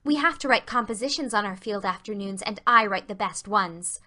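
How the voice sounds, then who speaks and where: Audiobook role: earnest romantic schoolgirl, played by a woman, effusive and dramatic, bright clear-edged tone. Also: mid-range voice, normal speed. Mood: gushing. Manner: gushing, dramatic.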